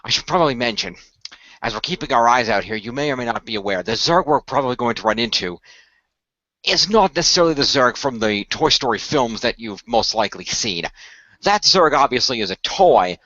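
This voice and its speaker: A man performing and narrating a semi-improvised radio play in a measured, explanatory way with formal phrasing. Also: mid-range voice, animated, pushed and bright, no room sound. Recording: dry, dull lo-fi microphone.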